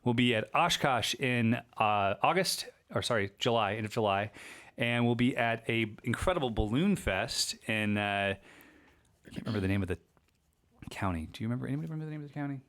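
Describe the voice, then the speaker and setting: A man's voice: medium pitch, animated, a little fast, a bit bright. A man hosting a casual livestream Q&A, chatty and upbeat, rambling a little and losing his voice. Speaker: livestream host and shop owner.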